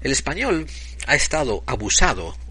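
A man giving an opinion monologue, speaking emphatically and deliberately, at times searching for his words. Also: medium pitch, big pitch swings, sharp tone, slightly rough, rough recording. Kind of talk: opinion monologue to camera or microphone.

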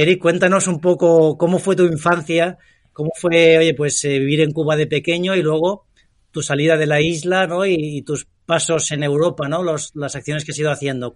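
A man's voice: on the high side, steady tone, moderate pace. A man co-hosting an interview, asking friendly questions and often ending them with a checking "¿no?". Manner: friendly, asks questions with "¿no?" tags. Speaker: co-host.

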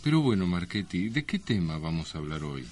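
A man's voice: deep voice, big pitch swings, brisk, darkish tone. A man with an Argentine accent co-hosting a radio show, bantering in a tongue-in-cheek, mock-formal way. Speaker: radio co-host. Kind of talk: bantering comic radio talk with mock-solemn lines.

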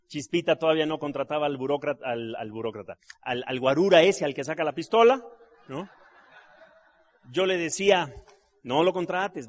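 Animated middle-aged Mexican man telling stories. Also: a little high. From Mexico City. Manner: animated storyteller, jokes with the crowd, asks tag questions; trained actor and voice-over artist. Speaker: business seminar speaker. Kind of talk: live motivational talk to an audience.

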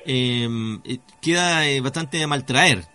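A Chilean man hosting a conversational radio show on mysteries, speaking haltingly as he searches for words. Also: mid-range voice, bright, forceful tone. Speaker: radio host. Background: music.

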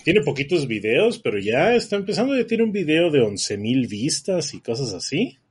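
A man's voice: fairly high pitch, sing-song, moderate pace, breathy edge. A man with a northern Mexican accent chatting casually as a podcast co-host, rambling with lots of fillers and restarts. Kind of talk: casual chat.

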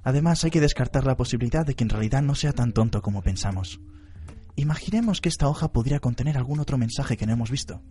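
An actor playing an unflappable, analytical detective in a radio drama, methodical and blunt. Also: male voice, medium pitch, expressive, quick pace, warm tone, close to an everyday voice. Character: analytical, unflappable male detective briefing his team.

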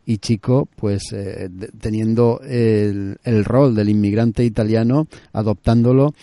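Man, podcast host, very soft dark timbre, dry close sound, articulate, conversational. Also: on the low side, fairly even intonation, a little slow, no echo. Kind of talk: conversational film discussion.

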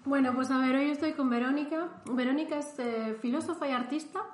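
A woman's voice: high voice, brisk. A woman with a Spain Spanish accent hosting a casual podcast interview, with loose, rambling intros. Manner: friendly, brief backchannels, loose rambling intros. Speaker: podcast host. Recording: roomy echo.

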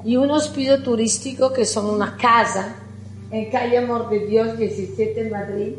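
A middle-aged woman with an Italian accent gives a conference talk, chatty and lively, often putting questions to the audience. Her voice sits a little high. It rings in an echoey hall with faint music underneath. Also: normal speed. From Italy. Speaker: architect giving a conference talk. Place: lecture hall.

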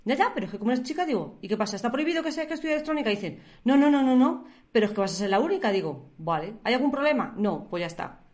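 A woman's voice: on the high side, animated, very fast, few pauses, darkish tone. Chatty middle-aged woman with a Spanish accent. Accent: Spain. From Spain. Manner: chatty, laughs often, colloquial. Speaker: podcast guest. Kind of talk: casual conversational storytelling.

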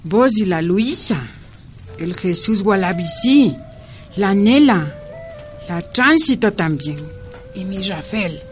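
Slow-speaking, weary old Andean woman. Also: mid-range voice, expressive, soft background music. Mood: wistful, tender. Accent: Ecuadorian Andean. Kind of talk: radio drama acting.

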